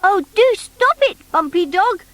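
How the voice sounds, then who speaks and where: A woman voicing a small, earnest, excitable toy boy in a children's storybook, with an emphatic, childlike delivery. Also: extremely high pitch, a little slow, a little hiss or hum, voice pushed up, full character voice. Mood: protesting.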